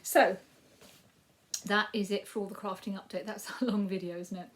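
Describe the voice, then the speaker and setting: Croaky Yorkshire woman in her fifties. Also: medium pitch, fairly even intonation, moderate pace. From Yorkshire, England. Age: 50s. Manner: rambling, with frequent ums and trailing asides. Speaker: crafting podcast host. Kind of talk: casual chatty crafting update.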